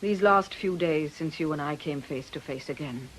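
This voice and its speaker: A woman's voice: low-pitched, moderate pace, a little hiss or hum, lightly acted, rough recording. An actress playing a bitter, imperious woman who leads an occult coven, in a theatrical radio drama style. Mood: taunting. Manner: imperious, scornful, theatrical delivery. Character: bitter, menacing woman occult coven leader and fortune-teller.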